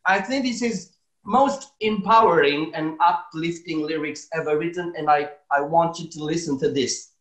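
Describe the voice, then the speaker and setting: A middle-aged man from Romania with a Romanian accent, doing deadpan stand-up comedy, chuckling at his own jokes, recorded on a webcam in a small room at home. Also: on the high side, moderate pace. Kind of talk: deadpan stand-up comedy and comic song at piano. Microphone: webcam at desk distance. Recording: roomy echo, piano behind.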